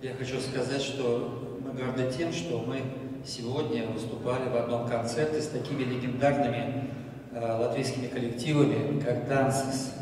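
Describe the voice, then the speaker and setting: A man leading a dance group gives an emotional, halting stage speech, searching for words. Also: mid-range voice, steady tone, darkish tone, recorded from a distance. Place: large hall with audience. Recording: echoey hall, recorded from afar.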